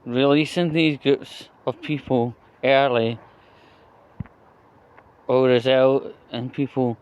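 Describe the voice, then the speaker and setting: Slow-talking Scottish man, blunt and opinionated. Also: mid-range voice, fairly even intonation, darkish tone, clear, resonant tone, highs cut off. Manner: conversational with frequent tag questions. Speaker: vlogger. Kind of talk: rambling political opinion monologue.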